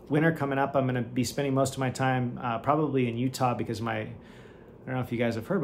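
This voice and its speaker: A man's voice: medium pitch, slight background noise. A middle-aged man, a physician hosting a podcast, explains health in a chatty, enthusiastic way, often checking in with "right?" while moving around at a standing desk. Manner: moving around and lifting weights while talking. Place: home office at a standing desk.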